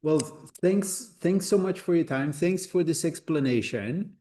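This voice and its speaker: A man's voice: a little high, measured pace, warm tone. A man from Poland hosting a casual video call and thanking his guest. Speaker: host.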